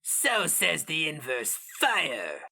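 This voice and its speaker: Man voicing a slow, rasping inhuman creature. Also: on the high side, wildly swooping pitch, sharp tone, voice pushed up, heavily acted. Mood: cold, ominous. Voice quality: raspy, guttural. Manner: slow, deliberate. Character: inhuman alien creature, rasping, menacing, coldly seductive.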